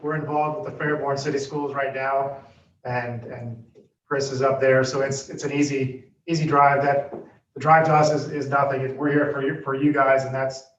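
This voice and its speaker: A man's voice: medium pitch, little pitch movement, normal speed. A man from a construction firm earnestly reassuring a school board that the drive is no problem. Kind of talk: answering questions at a school board meeting. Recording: distant muffled audio in an echoey room with faint noise.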